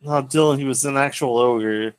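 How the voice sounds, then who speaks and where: A man's voice: mid-range voice, moderate pace, clean tone, dry recording. A man chatting casually as a podcast co-host in an insistent, plain-spoken way. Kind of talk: casual chat.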